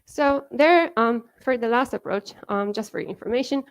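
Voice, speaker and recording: A woman's voice: a little high, moderate pace. A woman from Japan with a Japanese accent, giving a conference talk in a chatty way full of fillers, laughing now and then. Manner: chatty, many fillers like "you know" and "like", laughs often. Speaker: conference speaker. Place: conference hall.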